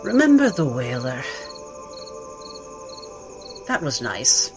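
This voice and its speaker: A man playing a sly, dry-witted doctor and spellcaster in tabletop roleplay, speaking in a halting, wordy, wry way. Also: medium pitch, wildly swooping pitch, over music, exaggerated voice. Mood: tired, wry.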